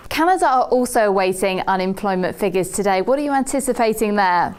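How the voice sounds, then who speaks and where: A woman's voice: medium pitch, lively intonation, fast, darkish tone, clear, resonant tone. A woman hosting a financial news interview, putting questions in a presenter's style. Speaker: TV host interviewer.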